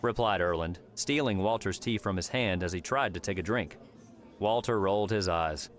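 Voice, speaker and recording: Deep-voiced man narrating an audiobook. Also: moderate pace. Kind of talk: scripted story narration. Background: music.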